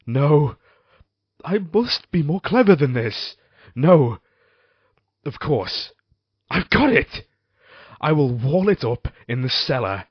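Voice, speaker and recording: A brooding, haunted husband with a violent temper, acted by a man, tells his horror tale in halting, archaic phrases. He takes his time, dramatic and theatrical. The recording is dry and somewhat dull. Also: on the high side, breathy edge, dry recording. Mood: frantic scheming. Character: tormented, violent-tempered married man, Gothic horror narrator. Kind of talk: theatrical Gothic audio drama monologue.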